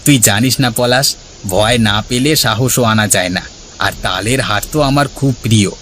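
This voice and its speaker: A man's voice: lowish voice, moderate pace, a bit bright, a little hiss or hum, close to an everyday voice. A man voicing a brave, curious village youngster in a cartoon, confident and reassuring. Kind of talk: cartoon character dialogue.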